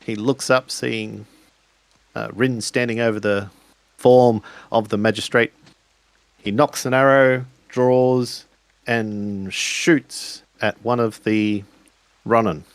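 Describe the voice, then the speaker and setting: A man improvising narration for a tabletop roleplaying game, speaking in a halting, deliberate way. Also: medium pitch, steady tone, dry recording.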